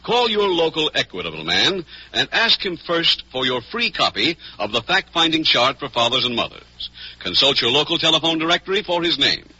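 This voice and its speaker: A man's voice: fairly high pitch, sing-song, moderate pace, pushed and bright, husky, dry recording. An American man announcing for a 1940s radio sponsor with emphatic, polished salesmanship. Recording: dull, band-limited vintage radio recording.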